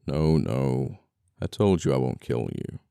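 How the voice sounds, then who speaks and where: A man acting as an amoral but apologetic, flirty murderer in an ASMR audio roleplay, speaking with unhurried, polite menace. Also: extremely low pitch, expressive, vocal fry, warm tone, clean tone, dry recording, clean studio sound, heavily acted. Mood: calm threat.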